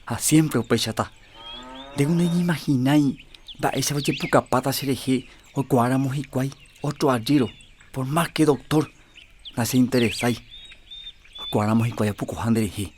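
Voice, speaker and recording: A jealous, controlling young villager, voiced by an actor in a radio drama. He speaks with a reproachful, insistent edge at an ordinary pitch, over a faint hiss of noise. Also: normal speed, long pauses, darkish tone, natural voice.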